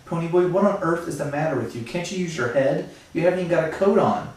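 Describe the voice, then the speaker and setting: A man's voice: fairly high pitch, expressive, quick pace, voice pushed up, close to an everyday voice. A man voicing a furious scolding older brother. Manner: shouting, scolding. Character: angry stern older brother, young man. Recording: echoey room, far from the mic.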